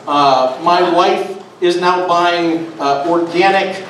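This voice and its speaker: A man's voice: sits high, takes it slowly, slight background noise. Middle-aged man doing sardonic stand-up. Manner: sardonic, deadpan asides with many "uh" fillers. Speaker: stand-up comedian. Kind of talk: stand-up comedy set. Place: small dark comedy club stage with audience. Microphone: handheld mic, filmed from the back of the room. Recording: echoey club audio from far off. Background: audience laughter.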